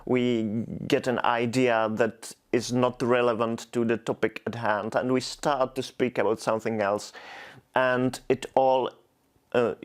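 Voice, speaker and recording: Slow, halting man with Czech accent. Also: medium pitch, level tone. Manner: hesitant, many restarts and repeated words. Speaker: poet, guest. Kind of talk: reflective interview answers.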